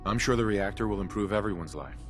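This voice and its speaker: A man playing a calm, plain-spoken hero in a video game, in a casual manner. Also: on the low side, fast talker, music in the background, natural voice. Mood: sincere, steady.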